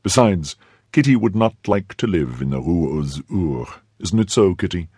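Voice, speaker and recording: A man acts out a young French gallant in a storybook reading, in a low, husky voice. He is confident and affectionate, coaxing one moment and briskly commanding the next. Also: lively intonation, dark tone, dry recording, studio quality. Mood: brisk, light. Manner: confident, coaxing, quick to give orders.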